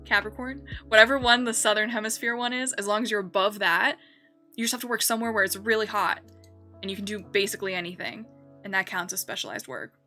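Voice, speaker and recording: A woman's voice: medium pitch, fast, bright, forceful tone, faint music behind. A young woman streamer chatting casually while building in a game, rambling and correcting herself with lots of fillers.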